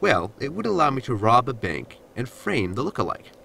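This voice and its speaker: An actor playing a sardonic, scheming sidekick to a space captain, deadpan and dryly insolent, in a sci-fi comedy audio drama. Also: male voice, mid-range voice, normal speed, gravelly, slightly rough, full character voice. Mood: dry, teasing.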